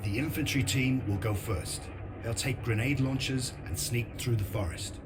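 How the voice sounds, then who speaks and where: An actor playing a grim, sardonic resistance commander in a video game, with a blunt, dry, determined delivery. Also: male voice, medium pitch, normal speed, over music, close to an everyday voice. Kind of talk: video game cutscene narration and briefing.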